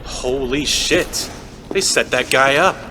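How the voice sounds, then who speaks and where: A man's voice: mid-range voice, slow, natural voice. A man playing a sarcastic, bewildered everyman on the run in an audio drama, questioning everything in disbelief. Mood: alarmed, curious. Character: Ray, sleepless, bewildered, wisecracking everyman on the run. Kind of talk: audio drama acting with personal-note narration. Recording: echoey, noisy city ambience.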